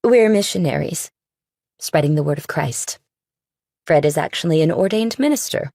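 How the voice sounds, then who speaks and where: A woman's voice: low-pitched, animated, normal speed, creaky, warm tone, no echo, clean studio sound. A woman voicing a stern, pious middle-aged missionary mother in audiobook dialogue. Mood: severe.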